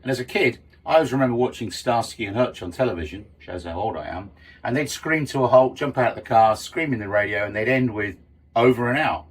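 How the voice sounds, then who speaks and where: Middle-aged man instructor, slightly rough voice. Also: medium pitch, animated, a little fast. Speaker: sailing instructor. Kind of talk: informal instructional explanation with demonstration.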